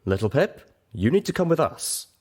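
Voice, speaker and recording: A man's voice: fairly high pitch, sing-song, moderate pace, a little mellow, voice pushed up. A man voicing an official guard pony in a story reading, commanding and clipped. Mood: curt, official. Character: curt official male guard pony escorting a suspect.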